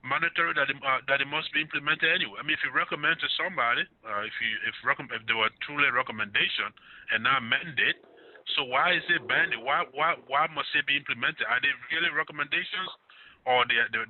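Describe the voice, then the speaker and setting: A man's voice: medium pitch, dry recording. A man hosting a call-in radio show, taking callers and asking probing questions in a conversational way. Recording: dry phone-line audio.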